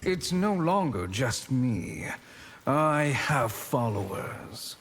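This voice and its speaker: A man playing a cold, haughty sorcerer villain in a video game, measured and menacing. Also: medium pitch, sing-song, a bit bright, slight background noise, heavily acted. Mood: cold contempt. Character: cold, sinister sorcerer villain with cruel scientific contempt. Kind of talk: video game character acting.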